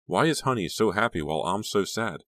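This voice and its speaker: A man's voice: fairly low pitch, lively intonation, fairly quick, dry recording, pitched above the performer's own voice, natural voice. Man playing a weary, sad teenage girl. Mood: weary, reluctant. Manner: weary, plaintive, timid.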